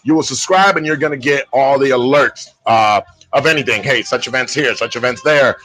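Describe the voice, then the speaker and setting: A man from Puerto Rico hosts a casual live show in a bright, forward voice at an ordinary male pitch. He talks informally and directly to his listeners, as if to brothers. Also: brisk, low-quality recording. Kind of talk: casual live-stream chat.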